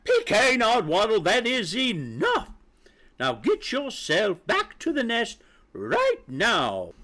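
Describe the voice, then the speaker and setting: A man's voice: fairly high pitch, wildly swooping pitch, slow, fairly bright tone, pitched above the performer's own voice, exaggerated voice. A man voicing a fat, haughty mother hen who scolds and squawks in pompous indignation for a storybook. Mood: haughty, fierce. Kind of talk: animated storybook character voice.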